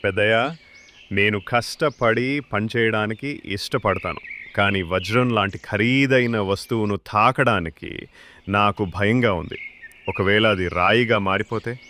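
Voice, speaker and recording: A man playing an honest, humble young villager in an animated moral story, speaking earnestly and cautiously. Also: fairly low pitch, measured pace, slight background noise. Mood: hesitant, earnest.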